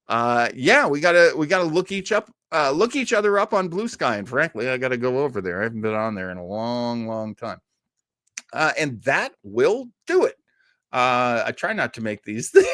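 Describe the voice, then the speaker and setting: A man's voice: medium pitch, a bit bright, dry recording, studio quality. A man in his early sixties hosting a livestream, chatting casually with viewers in a rambling way with jokey asides. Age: early 60s. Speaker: host of a livestreamed classic radio listening show.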